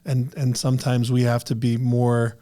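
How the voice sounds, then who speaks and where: A man of middle pitch with a mellow, warm tone, hosting an interview. He rambles and restarts often, leaning on "you know" as he works toward his question. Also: monotone, normal speed. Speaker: podcast host. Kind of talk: casual interview chat.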